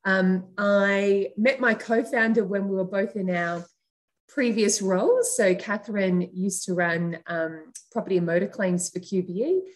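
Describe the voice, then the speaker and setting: A woman's voice: mid-range voice, measured pace. A woman with an Australian accent, a company founder speaking conversationally as an interview guest.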